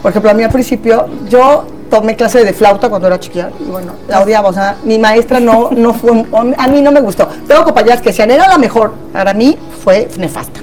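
A woman's voice: fairly high pitch, fairly quick, hardly any pauses, darkish tone. A woman with a Mexican accent, a therapist guest in a casual panel chat, talking in a chatty way with many tag questions.

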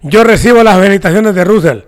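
A man's voice: sits high, fast talker. A Honduran man co-hosting a sports radio show, joking and reading sponsor plugs. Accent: Honduran Spanish. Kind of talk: casual sports talk banter.

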